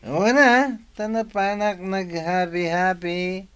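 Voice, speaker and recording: A man's voice: sits high, steady tone, slow pace, a bit bright, smooth. A man with a Filipino accent playing a drunken, idle young husband in a radio drama, maudlin and pleading in a slurred drawl. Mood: drunken, stubborn. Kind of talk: Philippine radio drama acting.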